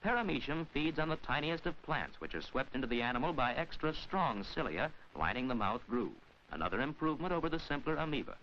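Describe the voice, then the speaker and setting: A man delivering formal scripted narration in the measured, instructional manner of a mid-century educational science film, with careful enunciation. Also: mid-range voice, lively intonation. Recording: dull, hissy 1940s film soundtrack.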